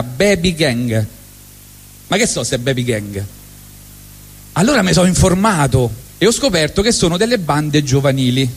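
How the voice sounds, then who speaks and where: A middle-aged man from Rome with a Roman accent, telling a wry, deadpan comic story on stage at a live event. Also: a little high, big-room sound. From Rome, Italy. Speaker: monologue performer.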